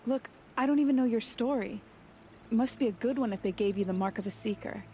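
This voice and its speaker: A woman's voice: on the high side, fast talker, mellow, slight background noise, natural voice. A woman voicing a fierce, proud young huntress in a video game. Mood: solemn, grateful.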